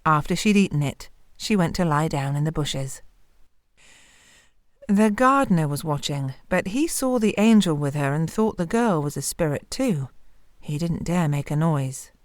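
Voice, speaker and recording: A woman storyteller giving an expressive narration of a fairy tale. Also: low voice, normal speed, no echo, studio quality.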